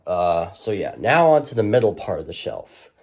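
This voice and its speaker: Low-voiced man, rambling casual showcase. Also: big pitch swings, moderate pace, a little mellow. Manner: rambling, hesitant, informal. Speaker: collector presenting a radio collection. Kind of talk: casual product showcase narration.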